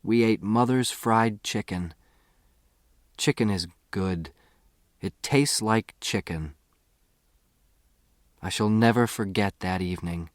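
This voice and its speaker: A man's voice: fairly low pitch, slow, long pauses, dry recording, studio quality. A man reading a first-person novel aloud as an audiobook narrator.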